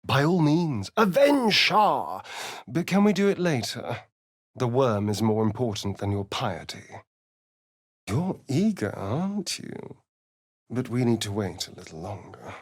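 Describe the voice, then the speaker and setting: Sly vampire rogue, very animated pitch, dry close sound, arch, sardonic, video game character. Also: male voice, unhurried, no echo. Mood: sardonic, playful. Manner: arch, flamboyant, sardonic, theatrical delivery. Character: sly, vain, theatrical male vampire spawn rogue. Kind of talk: video game voice acting, dramatic lines and narration.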